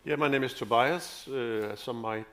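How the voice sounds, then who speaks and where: A man's voice: medium pitch, normal speed, a bit bright. A man from Denmark with a Danish accent introducing himself on a conference panel, hesitant and full of fillers. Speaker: panelist, digital product lead.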